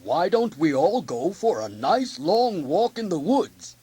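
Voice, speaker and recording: A woman voicing a big, gruff but friendly papa bear in a children's storybook. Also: on the low side, very animated, unhurried, dark tone, voice pushed up, heavily acted. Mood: cheerful.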